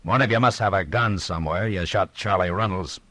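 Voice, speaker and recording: Deep-voiced American man, steady frontier marshal, dry, laconic, commanding, old radio western. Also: a little fast. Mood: stern, sardonic.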